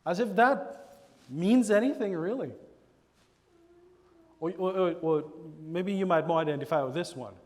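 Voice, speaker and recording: A man with a Trinidadian accent preaching a sermon, animated, acting out church members in quoted voices. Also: high-pitched, unhurried, pauses often, in a large hall. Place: church sanctuary.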